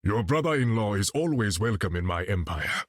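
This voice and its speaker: Low, husky, regal African warrior king. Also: animated, dry recording. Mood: proud, solemn. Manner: formal, regal, measured phrasing. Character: dignified, proud warrior king protecting his African empire. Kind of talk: video game character voice acting.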